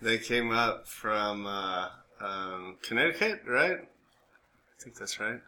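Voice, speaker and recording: A man's voice: on the low side, expressive, slow, bright, forceful tone. An American man co-hosting a podcast in casual banter, rambling and jokey as he interrupts himself. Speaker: podcast co-host. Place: small room, recorded for a podcast and live stream. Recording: clearly noisy background.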